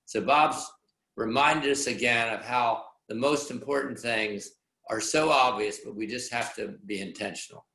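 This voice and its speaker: A man's voice: mid-range voice, moderate pace, sharp tone, slight background noise. A man hosting a video call, chatty and reflective as he wraps up. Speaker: host. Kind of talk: casual video call chat. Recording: echoey room on a video call.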